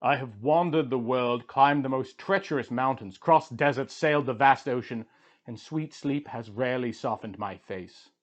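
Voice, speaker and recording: A man's voice: mid-range voice, normal speed, natural voice. A man voicing an anguished, weary hero-king mourning in a declamatory, dramatic reading of an ancient epic. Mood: weary, forceful. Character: Gilgamesh, anguished grieving male hero-king, weary wanderer.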